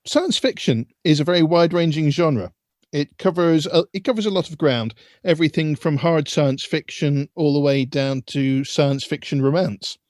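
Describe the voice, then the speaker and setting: A man co-hosting a podcast who asks his guest questions in a lively, enthusiastic way. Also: fairly high pitch, moderate pace, dry recording, clean studio sound. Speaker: podcast co-host. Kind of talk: podcast interview.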